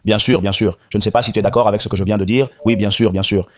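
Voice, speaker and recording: Calm low-voiced Moroccan man. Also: rapid-fire. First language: Arabic. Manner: calm, repeats himself. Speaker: guest. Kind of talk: interview conversation.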